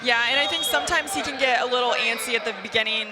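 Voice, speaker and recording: A woman's voice: fairly high pitch, fast. A woman giving conversational color commentary on a volleyball broadcast from an indoor arena. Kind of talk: conversational sports analysis. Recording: loud crowd and arena noise. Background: crowd, bouncing balls, music.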